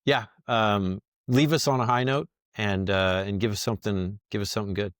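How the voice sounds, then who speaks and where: A man's voice: fairly low pitch, fairly even intonation, normal speed, clear, resonant tone, dry recording, clean studio sound. A man hosting a casual podcast interview, wrapping up with friendly, rambling remarks and many fillers. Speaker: podcast host.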